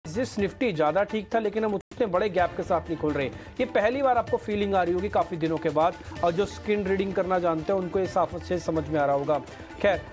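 A male news anchor giving live, brisk stock market commentary and speaking directly to viewers. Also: high voice, darkish tone. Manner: brisk, talks straight to viewers.

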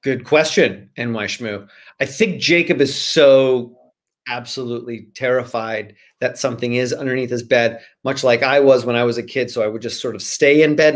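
A man in his forties speaking as a guest author on a video call, chatting casually and reading from a storybook. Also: medium pitch. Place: small room at home, indoors. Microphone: video call, computer at arm's length.

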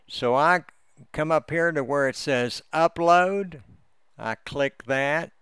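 Slow, gravelly man with clear voice. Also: mid-range voice, a bit bright, no echo, studio quality. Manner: unhurried, step-by-step explaining. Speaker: amateur radio hobbyist giving a software tutorial. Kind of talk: casual screen-recorded walkthrough.

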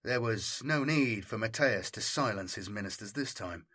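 A man narrates a science fiction audiobook. His delivery is scripted and dramatic, with a bright, forceful edge to the voice. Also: fairly low pitch, lively intonation, moderate pace, husky.

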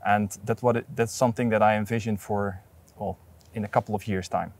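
A man in his thirties speaking in an outdoor interview, earnest and measured, with frequent restarts, on a lapel mic. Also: on the low side, steady tone, a little mellow. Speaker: port authority representative. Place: outdoors at an industrial port site.

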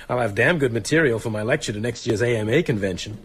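An actor playing a dry, wry small-town American doctor in a radio drama. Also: male voice, medium pitch, a little fast, husky, close to an everyday voice. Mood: dry, thoughtful. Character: wry, brisk small-town American doctor, sceptical but open-minded.

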